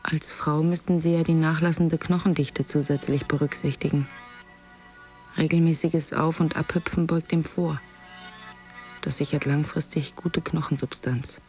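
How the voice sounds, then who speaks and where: A detached male know-it-all played by an actor lectures in a radio drama. His fairly high voice stays flat, with faint music behind it. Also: normal speed, muffled recording, lightly acted. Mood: lecturing. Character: detached male commentator, pseudo-expert on bodies and minds. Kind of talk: radio play.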